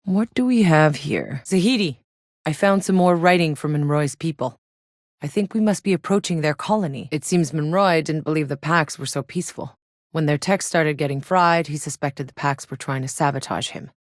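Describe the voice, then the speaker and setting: Actress as a low-voiced, tough sci-fi soldier woman. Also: normal speed, dry recording, studio quality, close to an everyday voice. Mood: investigative, serious. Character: tough, sardonic female sci-fi soldier and explorer. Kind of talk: scripted video game character voice lines.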